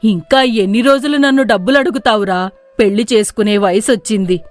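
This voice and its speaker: A nagging old grandmother from a moral tale, played by a woman, speaks in a fairly high voice. She is reproachful and fed up with her idle grandson. Music plays behind her. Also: fairly quick, lightly acted. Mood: scolding, weary.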